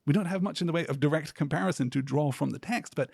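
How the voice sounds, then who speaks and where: A man hosting a podcast, delivering scripted literary commentary in a measured, articulate way with deliberate, emphatic phrasing. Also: on the high side, vocal fry, darkish tone, slightly rough, no room sound, clean studio sound. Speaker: podcast host.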